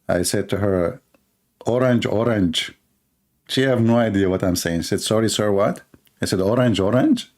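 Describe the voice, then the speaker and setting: A man's voice: fairly low pitch, a little mellow. A man hosting a live stream, talking in a sarcastic, mocking way and mimicking others in funny voices. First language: Arabic.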